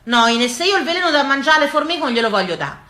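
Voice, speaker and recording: An actress performing an earthy, stubborn yet tender Tuscan countrywoman in an expressive, colloquial stage monologue. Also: high-pitched, fast talker, exaggerated voice. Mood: indignant, stubborn. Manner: colloquial, expressive, addressing a neighbour with rhetorical questions. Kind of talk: theatrical monologue, rustic storytelling.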